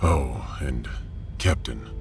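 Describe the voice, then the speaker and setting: A man playing a gruff, proud middle-aged blacksmith with a blunt, weighty manner in a sci-fi audio drama. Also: rumbling low, extremely slow, music in the background, full character voice. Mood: grudging respect.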